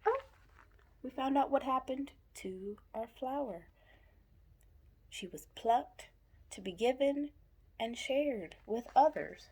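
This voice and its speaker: High, husky-voiced woman talking to children. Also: animated, slow, pauses often, a little mellow. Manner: simple short phrases, pointing things out. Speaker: story reader commenting on pictures. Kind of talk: child-directed picture book commentary.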